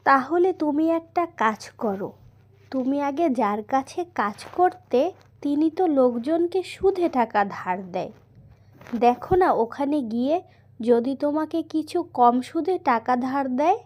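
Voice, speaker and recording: A woman voicing a caring, worried housewife and mother in a cartoon, with a gentle, coaxing manner. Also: sits high, expressive, unhurried. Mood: gentle urging. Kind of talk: cartoon voice acting.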